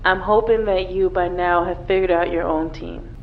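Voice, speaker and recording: A woman playing a composed superhero mother in a recorded message, explaining things in a measured way. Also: on the low side, fairly even intonation, natural voice. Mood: slightly annoyed. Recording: dull, noisy video playback.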